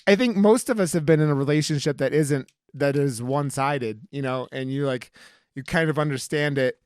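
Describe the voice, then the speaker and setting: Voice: medium pitch, a little fast, darkish tone, clear, resonant tone, no room sound. A podcast host in a casual film discussion, talking informally with plenty of swearing and slang.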